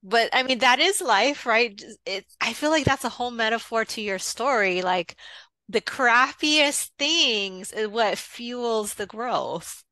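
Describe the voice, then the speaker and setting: A woman hosting a podcast, warmly affirming and enthusiastic in casual chat. Her voice is bright and crisp at a middle pitch. The sound is dry and close. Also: lively intonation, unhurried, no echo. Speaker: podcast host. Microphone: podcast mic.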